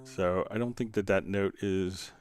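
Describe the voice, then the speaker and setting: A man hosting a live software tutorial, answering viewer questions casually and thinking aloud in a halting way as he demonstrates. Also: sits low, fairly even intonation, loud music behind the voice.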